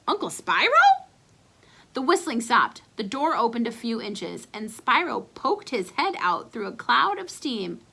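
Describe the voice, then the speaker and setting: A woman giving an expressive read-aloud narration of a children's storybook. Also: on the high side, unhurried, creaky, hardly any pauses, background noise.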